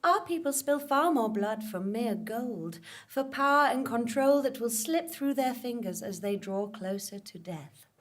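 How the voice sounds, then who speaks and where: A woman's voice: on the high side, expressive, measured pace. A woman playing an explorer's composed, principled companion in a live comic audio drama, with poised, formal, reproving delivery.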